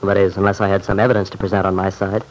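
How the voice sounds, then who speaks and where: Male actor as earnest, folksy nice guy for vintage radio drama; lowish voice, mild, polite, sincere. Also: level tone, rapid-fire, close to an everyday voice, low-quality recording. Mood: resigned. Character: earnest, boyish, polite man, hopeful but discouraged. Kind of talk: old-time radio soap opera acting.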